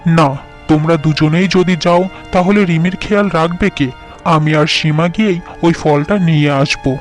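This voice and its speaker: A man's voice: on the high side, normal speed, faint music behind, lightly acted. A man voicing a worried, devoted father in a fairy-tale cartoon, speaking earnestly and with care. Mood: caring, resolute. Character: worried, devoted father of a sick young girl.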